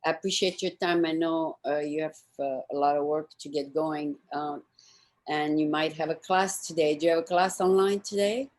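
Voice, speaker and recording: Low-voiced woman hosting a chat. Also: fairly even intonation, moderate pace, darkish tone. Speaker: show host. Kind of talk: casual interview chat, wrapping up.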